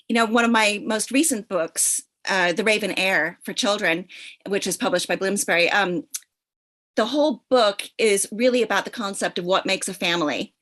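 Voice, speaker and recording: Bright-voiced American woman, laughing easily. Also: mid-range voice. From United States. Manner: warm, enthusiastic, laughs often. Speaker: panelist, author. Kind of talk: panel discussion. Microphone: video call.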